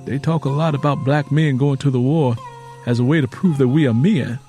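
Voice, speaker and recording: A man's voice: mid-range voice, a little fast, dark tone, soft background music, natural voice. Audiobook role, male narrator: aggrieved young man, plain speech, earnest questioning, mid-range voice. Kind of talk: audiobook character acting.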